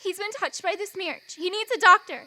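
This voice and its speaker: A woman's voice: very high voice, normal speed, pushed and bright, heavily acted. A woman playing a headstrong young woman in love in a radio drama, emphatic and defiant. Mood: distressed.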